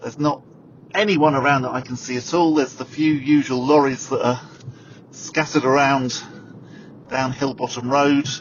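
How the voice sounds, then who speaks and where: Radio guy rambling along, mid pitch, lots of ums, bit of hiss behind. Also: steady tone, normal speed, dull audio. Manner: chatty, self-deprecating, lots of fillers. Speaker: radio presenter. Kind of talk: casual on-the-go commentary and studio chat. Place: in a car, then walking outdoors at a stadium.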